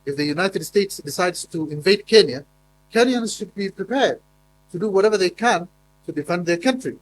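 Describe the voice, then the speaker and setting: A man's voice: high-pitched, expressive, normal speed, a little hiss or hum, low-quality recording. Emphatic man giving a conference talk. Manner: emphatic, with frequent fillers and repeated words. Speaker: conference speaker. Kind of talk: formal conference presentation. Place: conference hall.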